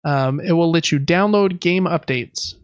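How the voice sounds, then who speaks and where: A man's voice: fairly high pitch, measured pace, clear, resonant tone, no room sound, muffled recording. A man co-hosting a podcast, talking through gaming news in a casual, conversational way. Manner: conversational, loosely reading through news items. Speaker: podcast co-host.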